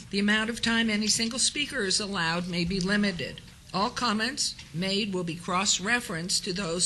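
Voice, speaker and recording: A woman with a hoarse voice from a cold, chairing a formal council meeting in city chambers and reading procedural announcements into a desk microphone. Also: mid-range voice, pushed and bright, a little hiss or hum, poor audio. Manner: reads a set script, then chats lightly with colleagues.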